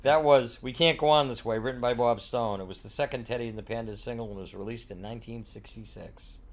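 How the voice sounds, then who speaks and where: A man serving as interviewer who asks short questions and introduces songs. His delivery is brief and matter-of-fact, with a middle-pitched voice. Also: brisk, faint music behind.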